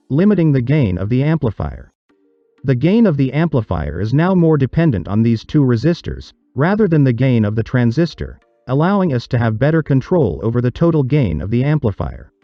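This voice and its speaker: A man reading a scripted explanatory narration for a tutorial, in a measured, didactic, step-by-step manner. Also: mid-range voice, dry recording, muffled recording.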